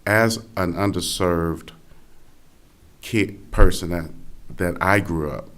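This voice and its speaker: A man from Gary, Indiana, speaks in a very low, raspy voice that is hoarse from cheering. He addresses a forum audience as a school board candidate. He talks earnestly, stopping and restarting his sentences. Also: slow, a bit bright.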